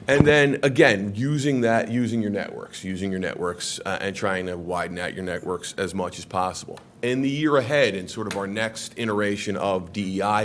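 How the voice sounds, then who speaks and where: A man with a fairly low voice presents to a city council in an earnest way. He pushes certain points with sudden lifts in his voice and often restarts his sentences. A faint rumble sits under his words. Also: a bit bright. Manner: earnest, with emphatic rises and frequent restarts. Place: council chamber.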